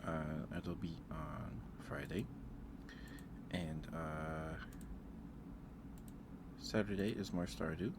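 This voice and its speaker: A man's voice: rumbling low, fairly even intonation, extremely slow, long pauses, noisy background. A man hosting a casual livestream, thinking aloud in a hesitant way. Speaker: livestream host.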